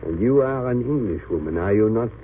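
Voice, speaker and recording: A man's voice: on the low side, animated, a little fast. An actor playing an earnest, cautious Belgian man who politely seeks help, in an old radio drama. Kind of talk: 1930s-40s radio drama acting. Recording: noisy narrow-band old radio recording.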